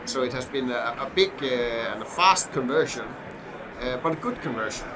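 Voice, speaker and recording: A middle-aged man from Denmark with a Danish accent, a podcast guest who talks in a halting way with many fillers and restarts. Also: mid-range voice, animated. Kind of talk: casual podcast interview. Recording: noisy background hum.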